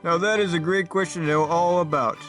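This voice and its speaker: A man's voice: on the high side, fairly even intonation, brisk, a bit bright, faint music behind, natural voice. A man voicing a self-assured, casual king who chats and explains as a guest on a mock talk show. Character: pompous male king guest, cool and chatty.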